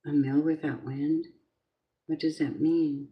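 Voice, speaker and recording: A middle-aged woman with a low voice, chatting in a rambling, casual way as she gives a tarot reading on a live stream. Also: little pitch movement, slow pace, muffled recording. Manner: chatty, rambling, drifting off topic. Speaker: tarot reader.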